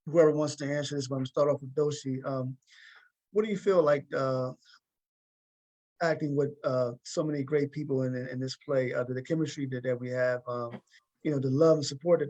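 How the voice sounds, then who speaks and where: A man's voice: mid-range voice, fairly even intonation, a little fast, a little mellow, low-quality recording. A man hosting a talk show, interviewing in a casual conversational way, often repeating words and rephrasing his questions as he goes.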